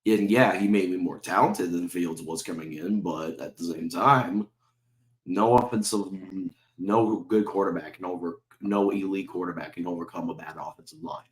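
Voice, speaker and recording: Brief: deep-voiced male sports-vlog host, sore throat, heated and profane, roomy echo. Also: normal speed. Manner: heated, profane, repetitive rhetorical questions. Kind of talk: ranting fan commentary to camera.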